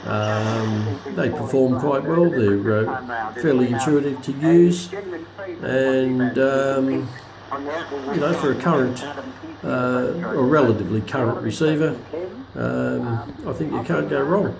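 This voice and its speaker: A man giving a casual, unscripted product demonstration as presenter, halting and searching for words. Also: mid-range voice, gravelly, a crowd in the background, poor audio. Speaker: presenter of a hobbyist radio review video. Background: radio broadcast voices playing from the demonstrated receiver.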